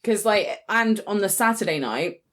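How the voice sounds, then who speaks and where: A woman's voice: mid-range voice, expressive, normal speed, a bit bright. A British woman in her late twenties chatting casually as a podcast co-host, in a chatty, informal way. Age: late 20s. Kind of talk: casual chat.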